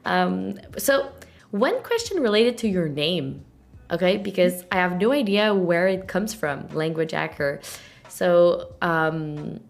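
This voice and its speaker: A woman's voice: on the low side, expressive, takes it slowly, vocal fry. A woman hosting an interview, asking casual questions with frequent fillers and light laughter. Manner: giggly, hesitant, with many fillers. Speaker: host.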